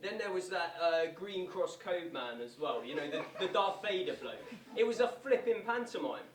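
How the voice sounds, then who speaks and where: Plain-spoken doubting supporter, verbatim theatre, played by a man. Slightly high pitch, distant mic. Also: animated, normal speed, slight background noise. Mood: wry, dubious. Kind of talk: verbatim monologue performed on stage. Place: theatre performance space, distant microphone. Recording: echoey stage recording from far off.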